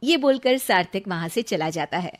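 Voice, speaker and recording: Female narrator for a scripted animated family story, linking the scenes. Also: mid-range voice, moderate pace.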